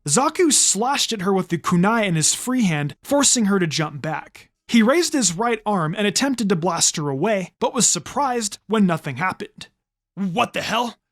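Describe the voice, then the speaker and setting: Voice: mid-range voice, very animated, normal speed, hardly any pauses. A narrator reading an action fan fiction story aloud in a dramatic scripted style, with music behind. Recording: music under the voice. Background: background music.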